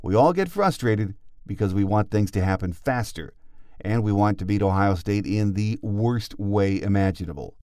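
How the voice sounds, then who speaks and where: Male podcast host, lowish pitch, scripted sports monologue, dry close sound. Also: animated, normal speed, a little mellow, smooth, no echo.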